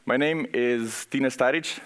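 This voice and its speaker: A man's voice: mid-range voice, slow, fairly bright tone, clean tone. A man with a Slovenian accent presenting at a conference in an informal, conversational way, speaking directly to the audience. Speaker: conference speaker, software architect. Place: conference session room, on stage.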